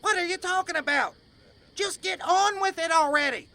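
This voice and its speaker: A man's voice: moderate pace, gravelly, bright, forceful tone, full character voice. A man playing an impatient, exasperated hothead in a comic Western audio drama. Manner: shrill, exasperated. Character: impatient, squawking hothead in the Wild West.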